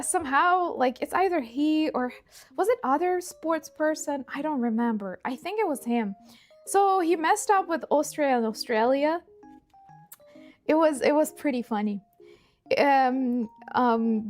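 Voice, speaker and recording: High-pitched Ukrainian-accented woman livestreamer. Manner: bubbly, animated, rambling. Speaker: livestream host. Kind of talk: casual livestream chat while cooking.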